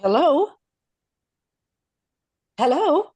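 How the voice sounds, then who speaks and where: Woman acting an expressive, firm mother. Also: sits high, drawn-out words, no echo, heavily acted. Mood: concerned. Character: Anna, smiling mother and actress, firm and skeptical. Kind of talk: scripted table read drama.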